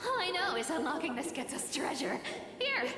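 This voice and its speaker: Greedy bossy game thief girl, sly and nonchalant, lilting swings, loud music under her. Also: high-pitched, very animated, normal speed. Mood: amused, sly. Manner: teasing, nonchalant, haughty. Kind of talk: video game voice acting.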